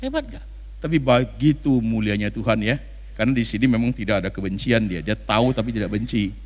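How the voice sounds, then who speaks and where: Animated Indonesian male preacher in a reverberant hall. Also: lowish voice, fairly quick. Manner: dramatic, colloquial, mixes in English phrases. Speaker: pastor preaching. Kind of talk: animated storytelling sermon acting out dialogue. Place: large church function hall.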